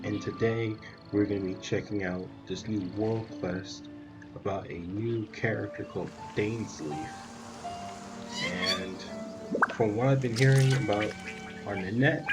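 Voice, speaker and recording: A man's voice: lowish voice, measured pace. A man hosting a gaming video with casual gameplay commentary. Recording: loud game music behind.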